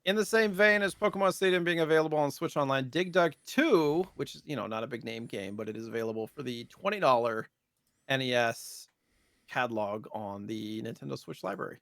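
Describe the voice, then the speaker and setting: A man's voice: mid-range voice, lively intonation, brisk, vocal fry, a bit bright, dry recording. A man co-hosting a podcast, chatting casually and jokingly about gaming news. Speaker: podcast co-host.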